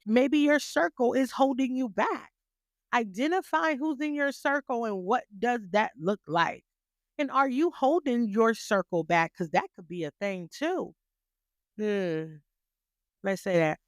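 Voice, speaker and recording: A woman podcast host gives a motivational talk and presses her listeners with question after question. She speaks slowly and deliberately, and her slightly high voice is smooth and clear. The recording is dry and close, and her voice sounds stern when she means business. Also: no room sound. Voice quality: stern but graceful voice. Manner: emphatic, rhetorical questions, repeats phrases for emphasis.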